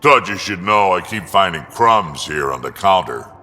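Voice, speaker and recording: A man voicing a blunt, wry, grizzled old alien warrior in a video game, with a gravelly growl. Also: sits low, expressive, normal speed, pushed and bright, big-room sound, soft background music. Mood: suspicious. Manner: blunt, sardonic. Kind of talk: video game character acting.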